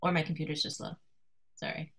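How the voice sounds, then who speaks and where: A woman in her thirties from Colorado, giving an informal artist talk to a class over a video call, soft-spoken and hesitant, with many fillers. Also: low-pitched, little pitch movement, fairly quick. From Colorado, USA. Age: 30s. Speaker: guest artist speaking to a class. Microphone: video call computer mic.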